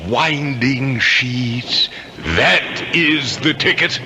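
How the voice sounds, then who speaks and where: A man's voice: mid-range voice, extremely slow, sharp tone, exaggerated voice. An actor playing a vain, easily outraged, centuries-old English ghost nobleman, declaiming grandly in a 1970s radio drama. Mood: gleeful, plotting. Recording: old radio broadcast, faint noise.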